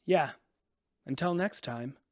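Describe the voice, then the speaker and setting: Radio drama farmer: principled, accusing, intense, on the low side, dry recording. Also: female voice, slow, a little mellow, dry recording. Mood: weary. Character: stern, principled, wounded young farm owner.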